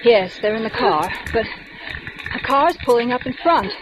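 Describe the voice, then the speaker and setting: A woman playing a prim, crisp-spoken heroine. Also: fairly high pitch, expressive, moderate pace, noisy background, natural voice. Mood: tense. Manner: crisp precise diction. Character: prim bookish woman, later bold. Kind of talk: 1940s radio acting. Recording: old radio broadcast with music.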